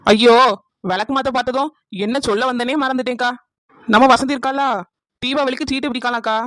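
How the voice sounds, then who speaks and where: A woman's voice: sits high, steady tone, dry recording, natural voice. A woman playing a nosy, sarcastic young village wife in a cartoon comedy, with a confrontational manner and a southern Tamil Nadu accent. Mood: gossipy, persuasive. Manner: brisk, confrontational, teasing.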